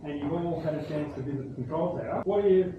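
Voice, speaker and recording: A man's voice: on the high side, fast talker. Man hosting, distant and phone-like. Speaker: host, air traffic services staff. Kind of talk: leading a casual group debrief with questions. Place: echoey indoor room, group discussion. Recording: distant, phone-like, noisy echoey room.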